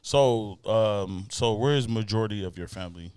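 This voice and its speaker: A man's voice: lowish voice, moderate pace, a little mellow, clear, resonant tone, no echo. A man hosting a casual chat interview in a laid-back, slangy way with some easy swearing. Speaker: interview show host.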